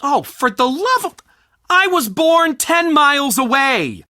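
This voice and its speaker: A man playing a fussy, excitable, scholarly culture center organizer in a video game, with polite but theatrical, easily flustered delivery. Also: very high voice, slow, dry recording, studio quality, heavily acted. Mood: exasperated, alarmed. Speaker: game character, festival organizer. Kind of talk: video game character dialogue.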